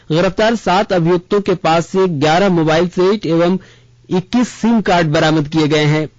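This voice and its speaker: High-pitched male radio newsreader, dull sound. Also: darkish tone, dry recording. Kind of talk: scripted news bulletin reading.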